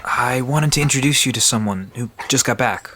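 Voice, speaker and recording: A woman's voice: extremely low pitch, a little fast, background noise. A woman playing an easygoing, knowledgeable park guide in an audio drama, casual and reassuring as they explain things.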